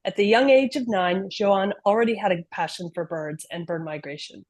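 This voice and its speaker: A woman's voice: mid-range voice, moderate pace, darkish tone, no room sound. Woman reading a speaker introduction. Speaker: program chair. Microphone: video call.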